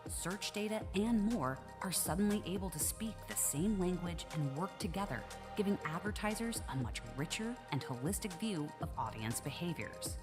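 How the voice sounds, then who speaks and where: Woman, scripted promo narration, polished and smooth, deep voice, music underneath. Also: expressive, normal speed, hardly any pauses. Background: music bed.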